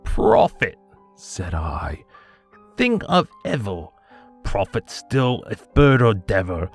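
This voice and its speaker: A man with a lowish voice reads a poem with deliberate, unhurried pacing. His delivery is theatrical, with pitch that leaps and falls widely, dropping to hushed murmurs and rising to anguished cries. Soft music plays faintly underneath. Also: huge pitch swings, creaky, husky. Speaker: voice actor. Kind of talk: dramatic poetry reading. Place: home studio.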